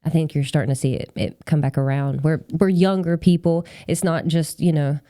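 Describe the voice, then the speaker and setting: Female host, forties. Low, relaxed voice, very little room sound, informal and rambling conversational delivery. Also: brisk, dry recording, clean studio sound. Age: 40s. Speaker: podcast host. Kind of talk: casual conversational interview.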